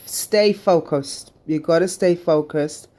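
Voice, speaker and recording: A woman's voice: fairly low pitch, slow, mellow. A middle-aged woman telling her own story in a chatty, emphatic way, full of fillers, then urging viewers on. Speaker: vlogger sharing her surgery journey. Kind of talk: casual personal storytelling to camera.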